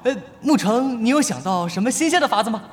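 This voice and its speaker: An echoey, high-pitched event host. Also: male voice, lively intonation, fast talker. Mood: upbeat, showy. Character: upbeat young male esports event host. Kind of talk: stage announcing in an audio drama. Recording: echoey hall announcer sound, music underneath. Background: music.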